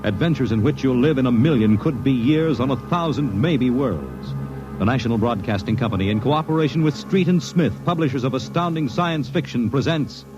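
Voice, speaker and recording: A male announcer introducing a 1950s radio drama in a measured, declamatory way. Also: medium pitch, animated, highs cut off. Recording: old broadcast with music bed.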